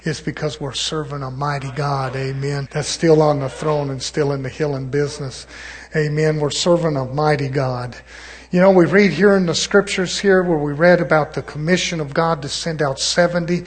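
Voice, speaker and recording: A middle-aged man, a pastor giving a testimony sermon in church, rising to loud exclamations of praise. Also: on the high side, slightly rough.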